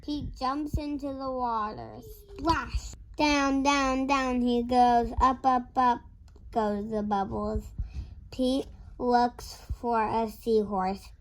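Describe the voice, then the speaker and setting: Young girl reading slowly and haltingly. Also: a little high, steady tone, poor audio. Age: child. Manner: halting, word-by-word early-reader delivery. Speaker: young reader. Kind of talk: reading a picture book aloud.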